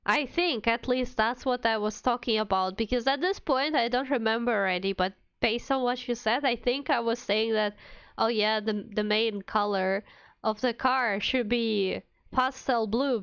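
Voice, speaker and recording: A woman's voice: on the high side, fairly bright tone, smooth, no room sound, muffled recording. A woman livestreamer chatting casually with viewers in a playful, mock-indignant, giggly manner. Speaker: streamer, VTuber.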